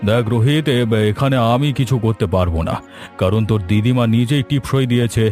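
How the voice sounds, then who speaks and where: A man voicing a stern older village headman in a cartoon, with a measured, questioning manner. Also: medium pitch, darkish tone, natural voice. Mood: disapproving. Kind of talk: cartoon voice acting. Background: background music.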